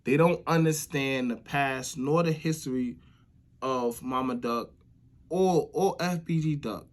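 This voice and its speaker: A man's voice: mid-range voice, a little slow. A man with an American accent giving casual spoken commentary as the host of a vlog. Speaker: vlogger hosting commentary show.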